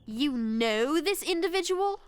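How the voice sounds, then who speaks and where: A woman voicing an earnest, proper teenage girl, easily indignant, in an animated series. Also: sits high, measured pace. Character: earnest, prim teenage English girl loyal to king. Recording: loud music behind the voice.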